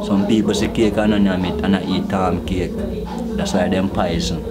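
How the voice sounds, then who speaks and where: A man with a Jamaican accent, joking and mocking in a loud, animated rant among friends in a small room. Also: sits low, far from the mic. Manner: mocking, animated, drawn-out words. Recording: echoey room, off-mic, loud music. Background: loud music.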